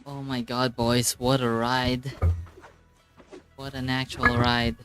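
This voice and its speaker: A man with a mid-range voice gives casual, live commentary on a game's story. He is informal and exclamatory, thinking aloud as he goes. Soft music plays under him. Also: medium pitch, level tone, takes it slowly. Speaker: streamer reacting to a game story.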